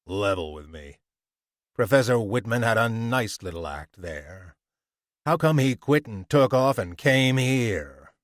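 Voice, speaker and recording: A man voicing a greedy, scheming rough laborer who talks in slangy, coaxing threats. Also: fairly low pitch, expressive, measured pace, no room sound, clean studio sound.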